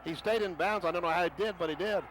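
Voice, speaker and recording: A man calling a football game play by play for radio, rising in pitch and volume on big plays. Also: fast. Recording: noisy stadium broadcast.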